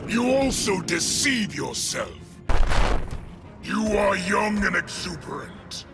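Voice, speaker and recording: Menacing alien warlord, high forceful pitch, slow, gravelly, wrathful. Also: male voice, very animated, heavily acted. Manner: declamatory, forceful. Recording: game audio under loud music.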